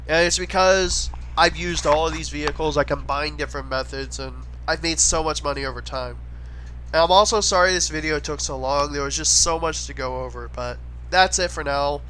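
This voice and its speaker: A man with a fairly high, bright, forceful voice that sounds a little stuffy from a head cold. He talks casually and eagerly, like a gaming creator recommending his favorites. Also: normal speed, slight background noise, low-quality recording. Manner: chatty, enthusiastic recommending. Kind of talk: casual gameplay commentary voice-over.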